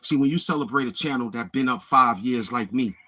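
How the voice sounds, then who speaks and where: A man's voice: mid-range voice, brisk, sharp tone, breathy edge. A man hosting his own channel in a boastful, taunting livestream monologue, swaggering and repeating himself. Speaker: YouTube channel host.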